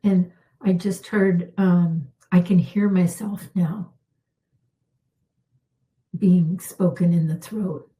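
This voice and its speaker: A middle-aged woman, a healer, leading a calm guided spiritual healing with unhurried talk and soft pauses, her voice slightly worn. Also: fairly low pitch. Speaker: intuitive healer hosting a live session.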